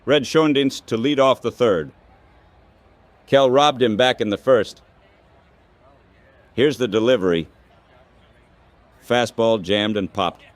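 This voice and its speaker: A man calls a baseball game play by play. He speaks very slowly in short, clipped phrases with measured pauses between them. His voice is recorded dry, with no room sound, in the manner of scripted radio commentary. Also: medium pitch, no echo.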